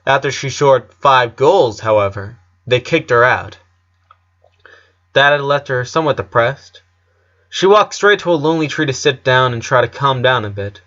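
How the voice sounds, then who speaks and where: Bright, forceful man narrating a story. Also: medium pitch, lively intonation, moderate pace, muffled recording. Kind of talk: scripted story narration, audiobook reading.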